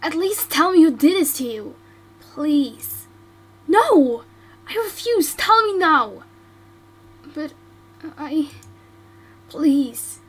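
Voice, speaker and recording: A woman's voice: sits high, expressive, slow pace, long pauses. A woman voicing a worried, caring friend who stammers and pleads for answers. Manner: anxious, insistent, stammering.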